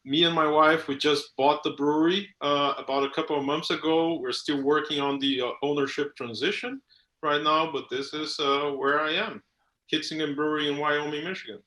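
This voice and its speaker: A man's voice: medium pitch, pushed and bright, reverberant. A man from Brazil with a Brazilian Portuguese accent, a brewery owner talking casually over a video call, hesitant with many fillers.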